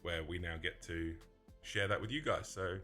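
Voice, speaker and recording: Low, bright-voiced laughing man. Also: normal speed, soft background music. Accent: mixed English and Australian. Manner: chatty, laughs easily. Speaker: podcast co-host. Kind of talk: casual chat.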